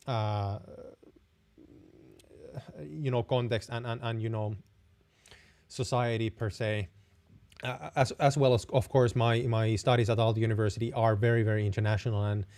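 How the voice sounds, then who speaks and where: A young man with a Finnish accent answers viewer questions on a live stream. His voice is warm and mellow at a middle pitch. He speaks in a friendly, rambling way and restarts his sentences often. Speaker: host.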